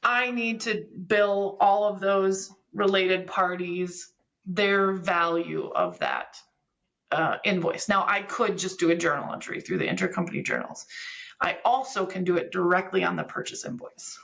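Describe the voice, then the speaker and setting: A woman's voice: on the low side, lively intonation, a little slow, gravelly, fairly bright tone. A woman giving a conversational technical explanation as an expert guest, walking through things step by step with examples. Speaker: podcast guest, business software expert.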